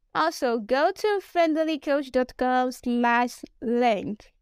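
Slow high-voiced Nigerian woman coach. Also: dry recording, studio quality. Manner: spells out words slowly, promotional. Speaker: business English coach. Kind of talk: solo podcast monologue.

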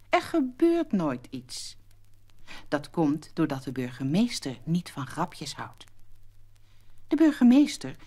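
A woman narrating a scripted children's story as an expressive storyteller. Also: on the low side.

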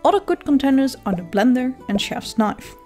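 A woman's voice: high-pitched, lively intonation, moderate pace, darkish tone, faint music behind. A woman with a Dutch accent, a native Dutch speaker, narrating a scripted game guide with dry, self-mocking humor.

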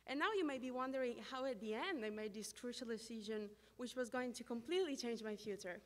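A young woman with an Italian accent reads an earnest graduation speech into a podium microphone. Her voice sits a little high and rings through a long gym reverb. Her English is careful and heartfelt. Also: fast talker. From Italy. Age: young adult. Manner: earnest, reading from notes at a podium. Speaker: exchange student giving a graduation speech. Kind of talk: prepared ceremony speech. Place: large school gym.

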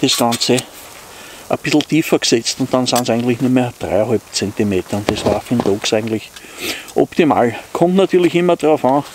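A man's voice: mid-range voice, animated, a little fast. Austrian man chatting, noisy outdoor recording. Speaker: outdoor vlogger. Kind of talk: casual unscripted vlog chat to viewers. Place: outdoors in snowy woods.

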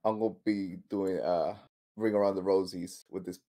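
A man's voice: deep voice, steady tone, normal speed, warm tone. A man talking casually as a tabletop game player, hesitantly thinking his moves aloud.

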